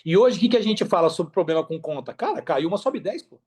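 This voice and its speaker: Man, Brazilian accent, fairly high pitch, casual podcast guest, emphatic, slangy, repetitive phrasing. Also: fast talker, breathy edge. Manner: emphatic, repeats phrases, slangy, animated storytelling with acted-out quotes. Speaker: podcast guest, affiliate marketer.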